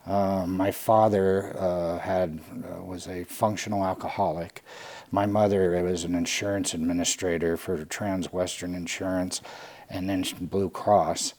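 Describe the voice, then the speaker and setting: A man in his late forties from California, a Salvation Army lieutenant telling his own story candidly, halting and restarting often. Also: low voice, flat delivery, a little mellow. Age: late 40s. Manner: halting, with frequent restarts and fillers.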